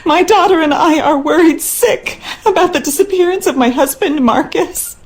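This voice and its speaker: A woman's voice: very high voice, moderate pace. An actress playing a worried, skeptical wife and mother, speaking earnestly and dramatically in a game monologue. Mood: anxious, pleading.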